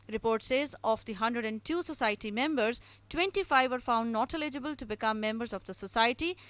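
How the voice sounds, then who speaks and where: Higher-pitched Indian woman reporting news. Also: fairly bright tone, no room sound. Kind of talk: scripted news report narration.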